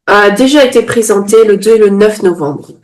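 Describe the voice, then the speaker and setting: A woman's voice: medium pitch, a little mellow, low-quality recording. A woman giving consecutive interpretation of a sermon into French, restating each phrase promptly and sometimes correcting herself mid-sentence. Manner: quick restating, occasional self-corrections.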